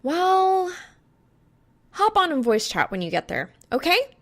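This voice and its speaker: A woman voicing a young woman gamer who is caring, bossy yet shy, in a soft earnest way. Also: extremely high pitch, big pitch swings, slow, pitched above the performer's own voice, full character voice.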